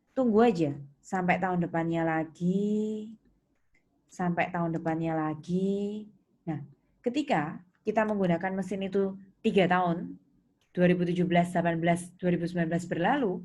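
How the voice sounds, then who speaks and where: A woman's voice: mid-range voice, warm tone. A woman giving a patient, casual explanatory lesson, often repeating and rephrasing points for her students. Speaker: accounting teacher. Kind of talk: explanatory tutorial lecture in casual spoken register.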